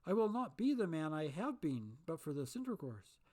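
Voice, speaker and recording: A reader voicing a remorseful old miser who turns giddy, pleading and exclaiming at a lifted pitch. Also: big pitch swings, moderate pace, darkish tone. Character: old miser, repentant and overjoyed.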